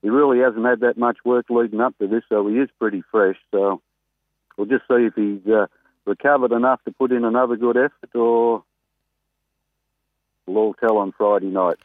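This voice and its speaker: A man's voice: medium pitch, moderate pace, dry recording. Australian man on a tinny phone line. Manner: chatty, rambling, excitable about racing. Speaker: greyhound trainer, guest caller. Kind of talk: casual phone interview. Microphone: telephone.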